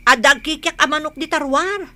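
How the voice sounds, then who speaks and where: A woman's voice: high voice, moderate pace, pushed and bright. A woman playing an alert younger child of the household in an Ilocano comedy radio drama, urgent and tattling, with an Ilocano accent.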